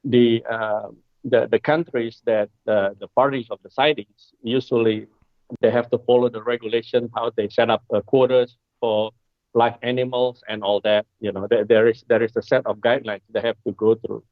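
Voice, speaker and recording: A man, answering interview questions in a hesitant way with many filler pauses, heard over a remote call. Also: mid-range voice, fairly even intonation, dry recording. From Indonesia. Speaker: guest, coral wholesaler. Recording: dry, tinny phone-like line.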